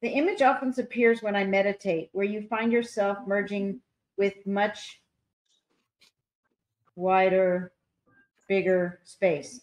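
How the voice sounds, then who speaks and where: A woman on a video call reads artists' statements aloud, one after another. She pauses and stumbles over unfamiliar words, then carries on at a middling pitch, recorded at home. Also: a little mellow, rough recording. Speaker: public arts commissioner. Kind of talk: reading artwork entries aloud in a meeting.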